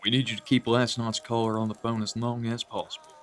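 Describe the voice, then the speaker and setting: A man's voice: medium pitch, flat delivery, fast, close to an everyday voice. A man playing a curt, authoritative small-town police chief in a radio drama. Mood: stern.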